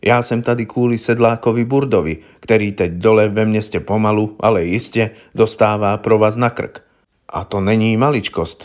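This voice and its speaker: Polite, coaxing police investigator, low-voiced man reading. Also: lightly acted. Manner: deferential, coaxing, colloquial questioning. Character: polite, candid, inquisitive male police investigator. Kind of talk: audiobook character voice.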